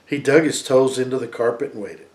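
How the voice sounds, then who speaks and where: A man's voice: mid-range voice, brisk, a little mellow. Man reading a story aloud expressively. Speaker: father reading a story to family.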